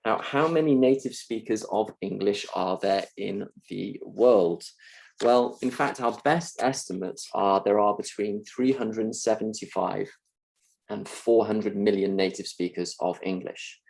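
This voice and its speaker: Male linguistics lecturer, low gravelly voice, measured delivery. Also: rough recording. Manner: measured, explanatory, calm. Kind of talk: scripted mock lecture.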